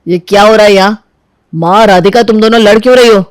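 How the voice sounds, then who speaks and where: A woman's voice: mid-range voice, fairly quick, dry recording, natural voice. A mild young husband, acted by a woman narrator, tries to keep the peace in a cartoon family story. The delivery is appeasing and pleading, with a dry, close sound. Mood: placating. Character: placating young husband, mild and caught between wife and mother.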